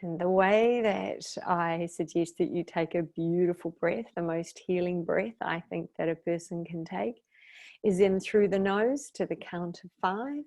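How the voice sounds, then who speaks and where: A woman guiding a meditation as its teacher, speaking in a soothing, unhurried way with long pauses. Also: fairly low pitch, vocal fry, darkish tone. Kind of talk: guided meditation.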